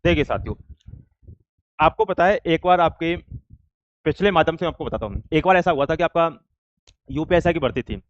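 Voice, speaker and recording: A man's voice: fairly high pitch, animated, fairly quick, pauses often, highs cut off. A man teaching exam students in an informal way, explaining step by step with repeated examples.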